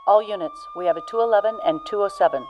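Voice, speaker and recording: A woman playing a brisk, official police dispatcher reading bulletins in a radio play. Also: mid-range voice, wildly swooping pitch, highs cut off, slight background noise. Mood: urgent, official.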